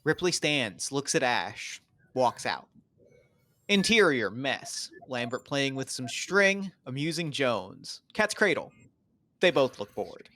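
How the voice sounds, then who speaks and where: A man's voice: mid-range voice, very animated, takes it slowly, pushed and bright, no echo. A man reading stage directions as the narrator of a scripted table read.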